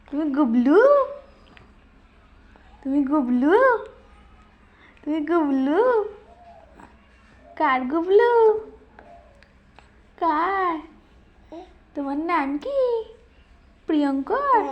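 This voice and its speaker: Female caregiver addressing a baby: extremely high register, unhurried, sing-song cooing, repetitive short questions and playful mock scolding. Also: highs cut off. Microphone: phone held while talking.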